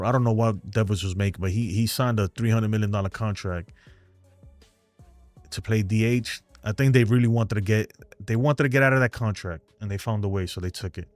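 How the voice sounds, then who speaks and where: A man with a New York accent talks in a low, dry voice, rambling and frank as he hosts a casual live stream. He chats loosely with viewers, and faint music plays behind him. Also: a little mellow, no echo. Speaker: host of a fan live stream.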